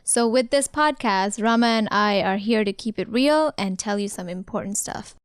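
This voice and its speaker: A young woman from Nepal, co-hosting a casual podcast, animated and expressive, with frequent ums. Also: medium pitch, moderate pace, clear, resonant tone, no room sound, clean studio sound. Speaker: podcast co-host. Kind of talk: casual conversational podcast chat.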